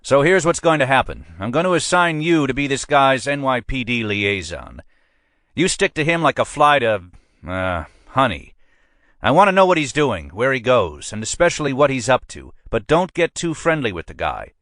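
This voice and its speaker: A man voicing a brusque, blustering middle-aged police captain in an audiobook, speaking much higher and louder than his own narration. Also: sing-song, normal speed, dry recording, studio quality. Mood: commanding. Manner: brusque, pushy, emphatic.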